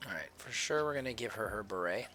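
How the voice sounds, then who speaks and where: A man live-streaming his sketching, mumbling his thoughts aloud as he draws, a little hoarse from a cold. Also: lowish voice, lively intonation, fast, pushed and bright, faint music behind. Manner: mumbled thinking aloud, trailing off. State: slightly hoarse, losing his voice. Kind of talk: casual talk while drawing.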